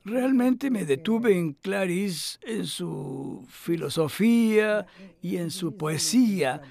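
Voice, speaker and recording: An elderly philosopher in a dubbed interview. His voice sits high for a man. He talks reflectively and modestly, with small hesitations, as if in conversation. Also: animated, takes it slowly, gravelly, a little mellow, heavily acted. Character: elderly male philosopher reminiscing, thoughtful and modest. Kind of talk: Spanish voice-over dub of an interview.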